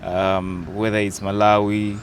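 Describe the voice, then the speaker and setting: A young man, an actor and content creator, chatting playfully in an interview, unhurried and hesitant with fillers. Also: fairly low pitch, little pitch movement, a little hiss or hum. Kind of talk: casual interview chat.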